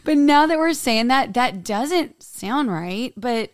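Hoarse woman co-host, raspy. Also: fairly high pitch, expressive, slow, no echo, clean studio sound. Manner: joking, drawling, breaks into laughter. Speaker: podcast co-host. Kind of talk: casual chat. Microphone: studio microphone.

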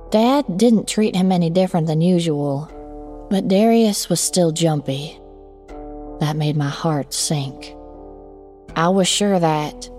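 A woman narrating a horror story in the first person as a shaken daughter looking back, with measured, reflective phrasing. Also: low-pitched, mellow. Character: shaken daughter recounting a frightening childhood memory.

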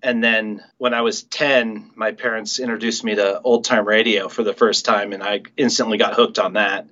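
A man's voice: on the low side, level tone, normal speed, pushed and bright, highs cut off. A middle-aged man, a writer and director, telling stories casually as an interview guest.

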